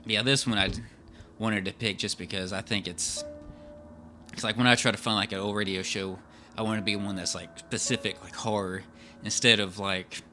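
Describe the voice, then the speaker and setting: A man's voice: fairly low pitch, fairly even intonation, normal speed, pushed and bright. A man co-hosting a podcast, chatting casually in a rambling, repetitive, informal way. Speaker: podcast co-host. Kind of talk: casual chat about old radio horror. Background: faint music.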